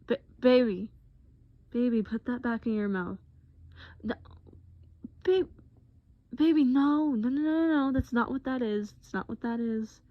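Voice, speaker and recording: A woman playing a gentle, patient boyfriend in acted-out roleplay, coaxing with pet names and simple, repeated reassurances. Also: fairly high pitch, unhurried, long pauses, no room sound, muffled recording, voice pushed up, close to an everyday voice. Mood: coaxing, worried. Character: gentle, patient boyfriend soothing a groggy partner.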